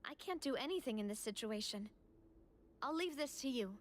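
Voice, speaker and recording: A woman's voice: high voice, animated, moderate pace, slight background noise. A woman playing a composed, earnest young woman in a video game, resolute but vulnerable. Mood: grave, resigned. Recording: game music behind the voice.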